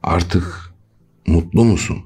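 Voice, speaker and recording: A man voicing a timid old fisherman, very deep. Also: slow, darkish tone, husky, faint music behind, exaggerated voice. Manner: hesitant, deferential. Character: timid, downcast elderly fisherman, humbly pleading.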